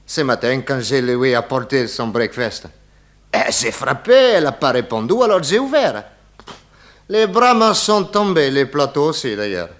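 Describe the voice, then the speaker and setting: An actor playing a chatty, excitable Italian manservant with an Italian accent in a 1960s French radio detective comedy. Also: male voice, mid-range voice, moderate pace. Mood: chatty, dramatic. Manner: animated, theatrical, chuckling.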